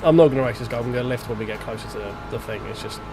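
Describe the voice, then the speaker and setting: A man's voice: mid-range voice, rapid-fire, noisy background. A man streaming a racing game, chatting casually to himself and his chat while driving, sounding congested and blocked up in the nose. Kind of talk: live gameplay commentary. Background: game music and car engine sounds.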